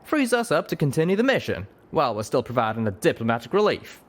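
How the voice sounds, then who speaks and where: A man's voice: medium pitch, very animated, quick pace, a little hiss or hum, voice pushed up, lightly acted. A man voicing a cocky, good-natured male pegasus gunslinger with folksy cowboy talk. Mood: wry, upbeat.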